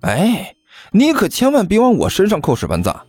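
A man's voice: on the high side, wildly swooping pitch, normal speed, darkish tone, no echo, faint music behind, pitched above the performer's own voice, exaggerated voice. A man voicing a smug, chubby male student in an audiobook, drawling with mock concern as he needles his rival. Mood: gleeful gloating. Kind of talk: audiobook character acting.

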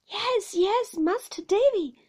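A woman's voice: extremely high pitch, animated, takes it slowly, darkish tone, voice pushed up, heavily acted. A woman voicing a kindly, flustered nursemaid in a storybook, breathless, hesitant and soothing. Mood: flustered, evasive. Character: kindly, flustered, fond nursemaid breaking awkward news.

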